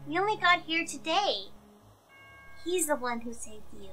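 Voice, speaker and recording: A woman voicing an excitable, earnest young girl pony in an animated drama, breathless and sincere. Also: high voice, measured pace, faint music behind, full character voice. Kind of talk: animated fan audio drama acting.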